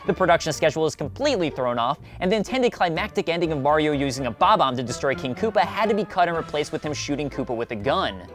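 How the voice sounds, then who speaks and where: A man's voice: on the high side, very animated, quick pace, few pauses. A man hosting and narrating a scripted video essay in an emphatic, conversational presenter style. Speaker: video host. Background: background music.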